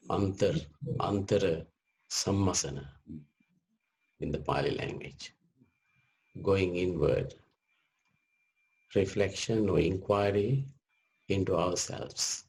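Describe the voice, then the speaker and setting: A man leading a guided meditation as a teacher, speaking gently in short measured phrases with long pauses. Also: deep voice, rough recording.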